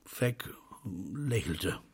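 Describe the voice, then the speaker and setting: A man's voice: sits low, very animated, drawn-out words, husky. A man reading scripted literary narration as the narrator of a radio drama.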